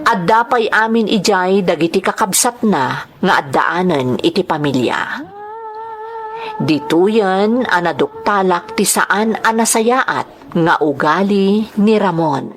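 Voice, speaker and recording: A woman's voice: fairly low pitch, expressive, slow. A woman with an Ilocano accent acting a distressed young married daughter in an audio drama, speaking in an earnest, emotional way. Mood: sorrowful, confiding.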